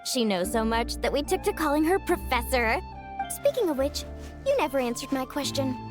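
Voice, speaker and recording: A woman playing an upbeat, easygoing young woman, chatty and friendly, in an animated video game. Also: high-pitched, normal speed. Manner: bubbly, casual, chatty. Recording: music under the voice.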